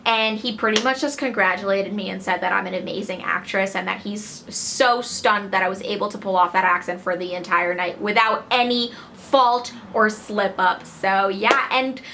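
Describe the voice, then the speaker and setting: A woman from Connecticut telling a story to camera in a chatty, lively way. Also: medium pitch, moderate pace, creaky, hardly any pauses, slight background noise. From Connecticut, USA. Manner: chatty, lively, acts out quoted voices. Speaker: vlogger.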